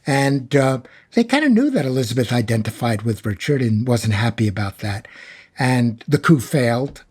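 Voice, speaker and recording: Husky man explaining emphatically. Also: mid-range voice, very animated, moderate pace. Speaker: Shakespeare scholar guest. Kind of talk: conversational expert commentary.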